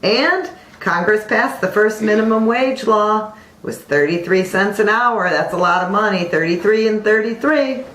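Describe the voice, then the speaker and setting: A woman's voice: medium pitch, normal speed, a bit bright, reverberant, poor audio. A woman co-hosting an informal mock news broadcast in a chatty, teasing way. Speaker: amateur newscast co-host.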